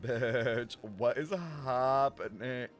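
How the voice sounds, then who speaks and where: A man's voice: medium pitch, fairly even intonation, very slow. A young man streaming, reacting casually to a game story, musing aloud with incredulous swearing. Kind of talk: casual live reaction chat. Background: game music.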